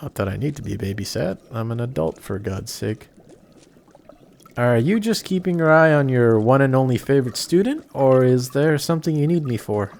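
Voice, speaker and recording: A young man playing a kindhearted apprentice sorcerer in an audio roleplay, chatty, excitable and easily flustered, with some stammering. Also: mid-range voice, normal speed, vocal fry, slight background noise. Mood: smug, chatty. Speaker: voice actor. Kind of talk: amateur audio roleplay acting addressed to the listener.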